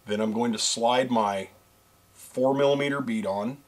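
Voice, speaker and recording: A man's voice: medium pitch, measured pace. A middle-aged man giving a step-by-step how-to demonstration as a show co-host, talking straight to viewers. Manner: step-by-step instructive, addresses viewers as folks and guys. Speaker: fishing pro staffer and co-host. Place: small studio set with backdrop.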